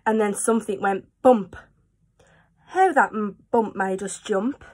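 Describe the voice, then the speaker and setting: A woman's voice: medium pitch, measured pace, darkish tone. A woman in her twenties reading a children's storybook aloud like a teacher to pupils. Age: 20s. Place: small room at home, indoors. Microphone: phone at arm's length.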